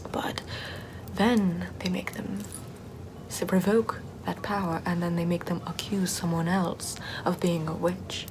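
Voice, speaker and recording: A woman playing a sardonic Puritan-era forest witch in a soft, rambling, mostly whispered ASMR roleplay. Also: on the low side, little pitch movement, a little slow, noisy background. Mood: exasperated, mocking. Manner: rambling, conversational, repeats words softly.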